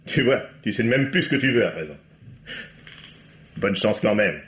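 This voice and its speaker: Cruelly mocking lover, swooping delivery. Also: male voice, fairly low pitch, expressive, moderate pace, a bit bright, a little hiss or hum. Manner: sarcastic, cutting, theatrical. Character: cold, mocking, cruel male lover eager to leave. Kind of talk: French vintage radio drama acting. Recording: dull vintage radio recording.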